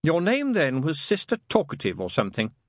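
Guy doing a sarcastic demon for an audiobook, exasperated, pitched up and swoopy, bit husky. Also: wildly swooping pitch, fairly quick, no echo, studio quality. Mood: brisk, smug. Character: sly, sardonic, impatient male demon.

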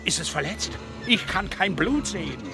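A man playing a gruff, distrustful older war veteran in a crime radio drama, brusque and scolding. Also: sits high, lively intonation, normal speed, over music. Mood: indignant, scolding. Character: gruff, distrustful one-armed male war-veteran neighbour.

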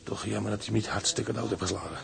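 An actor playing a gruff, cynical seaman in a Dutch audio drama, blunt, resigned and colloquial. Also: fairly low pitch, steady tone, fast talker, a crowd in the background. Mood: bitter.

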